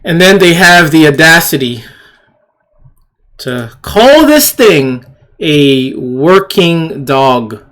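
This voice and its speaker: An indignant commentator delivering an opinionated rant at a slow, deliberate pace. The pitch swings widely for emphasis, with phrases repeated and rhetorical questions thrown out one after another. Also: sing-song, fairly bright tone. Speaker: video commentator. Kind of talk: opinionated rant commentary on shared screen clips.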